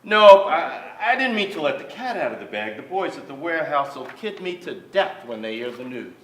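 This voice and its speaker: A man playing an affable, awkward young gentleman caller with a hearty, halting delivery in a staged reading. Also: fairly high pitch, sing-song, slight background noise. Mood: sheepish. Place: stage of a large theater. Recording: echoey theater, from far off, faint music.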